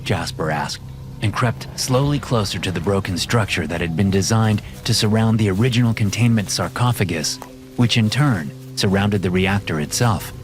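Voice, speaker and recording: Deep voiced guy narrating a horror story, measured, music under it. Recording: music bed behind voice.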